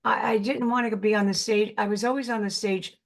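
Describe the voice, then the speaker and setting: An older woman with a New York accent speaks in a mid-range voice, earnest and halting. She circles back over her words and restarts as she reaches for what she means. Her tone is plainly conversational. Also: mid-range voice, steady tone, vocal fry. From Brooklyn, New York. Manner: earnest, halting, repeats words while searching. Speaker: actress guest. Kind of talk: casual interview chat.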